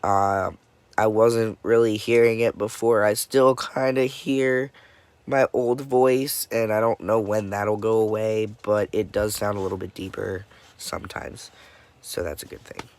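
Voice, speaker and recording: A young man talks slowly in a somewhat low voice, stuffy and congested as he comes down with a cold. He sounds worn out and drifts through his update haltingly, with many pauses and filler words. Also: fairly even intonation, clean tone, no room sound. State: dead tired. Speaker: vlogger. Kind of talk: casual personal update.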